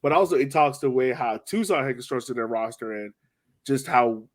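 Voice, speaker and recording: A man's voice: mid-range voice, a little fast. A man in casual sports podcast chat, rambling along with lots of "like" and "you know". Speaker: soccer podcast host.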